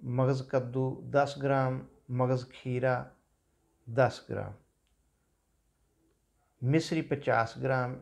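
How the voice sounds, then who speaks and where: A man in his fifties with a Pakistani Urdu accent, giving a calm, measured talk on home remedies from a small office. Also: mid-range voice. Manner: measured, unhurried explanation with religious phrases. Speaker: herbal medicine practitioner. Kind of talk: calm instructional health talk. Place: small office seated at a desk.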